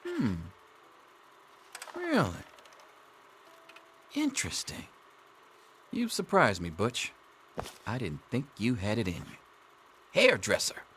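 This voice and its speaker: A man's voice: medium pitch, huge pitch swings, slow, long pauses, fairly bright tone, a little hiss or hum, heavily acted. A man playing a wry, jaded middle-aged schoolteacher in a video game, with a sardonic, theatrical drawl. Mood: dry sarcasm. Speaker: teacher. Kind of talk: video game dialogue acting.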